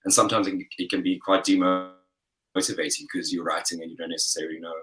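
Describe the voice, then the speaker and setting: Deep-voiced man, rambling. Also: fast talker, rough recording. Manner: relaxed, rambling, frequent fillers and laughs. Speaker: singer-songwriter guest. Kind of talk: casual interview chat.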